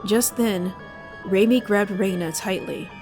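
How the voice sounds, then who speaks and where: A woman narrating an audio drama as a measured, solemn storyteller. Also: mid-range voice, over music, lightly acted.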